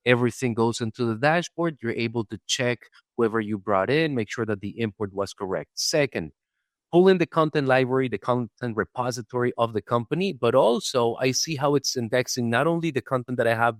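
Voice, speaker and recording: A man with an average-pitched voice speaks as a podcast interviewer. He sums up in a conversational but methodical way, moving point by point. The recording is dry, free of room sound. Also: no room sound. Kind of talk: conversational summarizing review.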